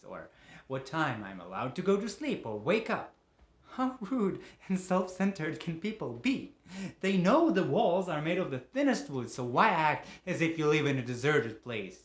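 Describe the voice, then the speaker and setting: A man performing a dramatic monologue as a lonely, embittered father, emotive and moving between hushed and agitated. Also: fairly high pitch, dull audio. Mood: agitated, indignant. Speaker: monologue actor. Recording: roomy, echoing room.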